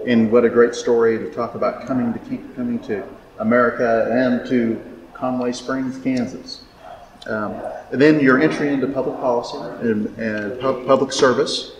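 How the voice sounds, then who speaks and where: A man interviewing for an oral history project, reading an introduction with stumbles and fillers. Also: medium pitch. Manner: reads project intro with stumbles and fillers, brief affirming replies. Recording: echoey hall, clear background noise.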